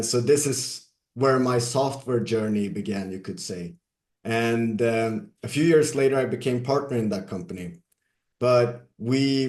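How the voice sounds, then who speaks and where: A man from Sweden, a guest on an interview podcast, telling his career story in a measured, casual way with some fillers. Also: mid-range voice, a little mellow, echoey room. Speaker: guest, software company CEO.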